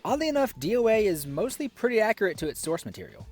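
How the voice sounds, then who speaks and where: A man's voice: sits high, sing-song, fast talker, darkish tone, faint music behind. A man giving a scripted review commentary with sarcastic, exasperated asides. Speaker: film reviewer.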